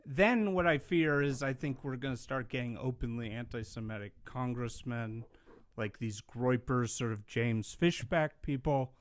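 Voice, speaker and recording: A man hosting a casual podcast interview in a mid-range voice. He talks in an animated, rambling way, firing off long questions and swearing casually. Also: medium pitch, a little slow, slight background noise. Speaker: podcast host.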